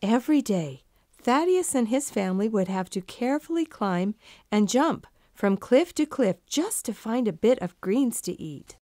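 A woman narrating a children's storybook in an expressive, deliberate storytelling manner. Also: a little high, no echo, studio quality.

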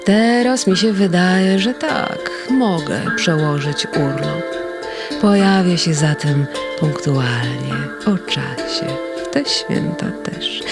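A woman voicing the first star of the evening as a graceful female figure in a children's story, hushed and breathy. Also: deep voice, heavily acted. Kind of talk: storybook reading.

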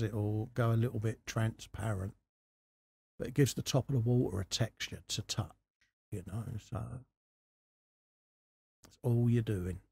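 A man with a low, gravelly voice and a mellow tone talks through hobby work at his bench. He is informal and chatty, explaining each step as he goes in an unhurried way. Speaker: host of a scale model workshop channel. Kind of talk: casual hobby tutorial commentary while working. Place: indoor hobby workbench.

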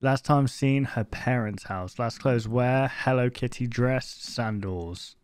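A man's voice: mid-range voice, takes it slowly, heavy background noise. A man in his twenties giving casual reaction commentary into a close desk microphone indoors. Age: 20s. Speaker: reaction video host. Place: bedroom streaming setup indoors.

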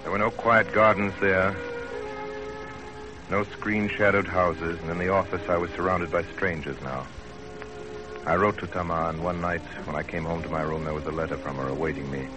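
Man playing earnest lovesick young man, low voice, 1930s radio drama, music behind. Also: fairly even intonation, moderate pace, natural voice, poor audio. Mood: wistful, reflective.

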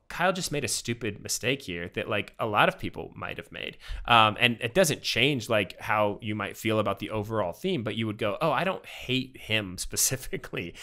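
A man in his thirties, a podcast guest, telling a personal story in casual, animated talk full of fillers and acted-out quotes, on a studio mic at home. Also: medium pitch. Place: home room with bookshelves. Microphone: studio mic with pop filter, headphones.

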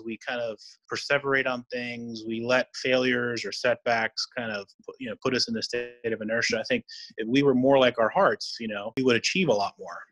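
A man's voice: medium pitch, fairly bright tone, rough recording. A middle-aged man, an interview guest and surgeon, explaining things conversationally and earnestly on a video call. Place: indoor room, on a video call.

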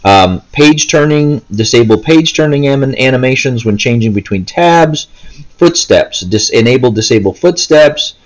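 A man's voice: medium pitch, lively intonation, moderate pace, rough recording. A man giving a casual, unscripted gameplay walkthrough in a hesitant, rambling way, often stopping mid-thought. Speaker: gaming video host. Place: indoors, seated, handheld console in hands.

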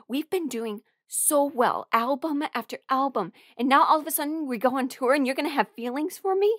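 A woman's voice: a little high, a bit bright, no echo, studio quality. A woman acting in an audio roleplay as a defensive, emotional musician rejecting her bandmate's love. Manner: emotional, exasperated, swearing. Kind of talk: audio roleplay drama monologue.

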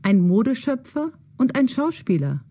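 A woman playing a curious, thoughtful young female amateur sleuth in an audio drama for language learners, speaking expressively and clearly. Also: on the high side, very animated, moderate pace, warm tone. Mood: pondering.

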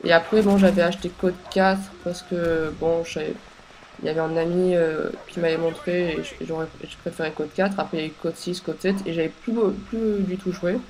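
A young woman with a stuffy, congested voice from a cold, talking over her game in a halting way with many pauses and fillers. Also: on the low side, fairly even intonation, darkish tone, low-quality recording. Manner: hesitant, many filler sounds and restarts. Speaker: gameplay commentator. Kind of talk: casual gameplay commentary.

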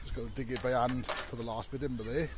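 A man's voice: medium pitch, steady tone, quick pace, noisy background. A man, talking casually and rambling as he walks round his farmyard and shows his work. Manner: rambling, plain-spoken, shows work as he goes. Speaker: farmer vlogger. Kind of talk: casual walk-through vlog. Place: outdoors on a farmyard and in a cow shed. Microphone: action camera on the body.

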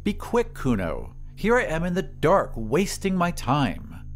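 Irritable, proper mother for an audio drama, performed by a man: raised pitch, brisk exasperated delivery. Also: huge pitch swings, soft background music, full character voice. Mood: impatient. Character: irritable, impatient, proper middle-aged mother of a grown son. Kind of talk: audio drama story reading.

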